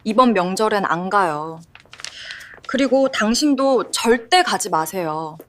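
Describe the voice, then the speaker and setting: A woman's voice: medium pitch, animated, unhurried, slight background noise, lightly acted. A woman voicing a cold, resolute wife in an audio drama, firm and cutting. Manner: firm, cutting, clipped replies.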